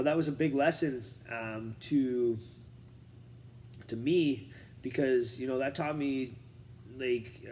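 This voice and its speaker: A man's voice: mid-range voice, darkish tone, slight background noise, poor audio. A man, a martial arts coach, talking casually from a chair in a rambling, filler-heavy, fired-up way. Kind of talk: casual talk to camera. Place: seated on a chair indoors.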